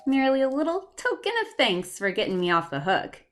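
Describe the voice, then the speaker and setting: A woman's voice: fairly high pitch, big pitch swings, a little fast, fairly bright tone. A woman playing an effusive, polite society lady in a 1950s-style detective radio play, with a mannered delivery. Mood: gushing.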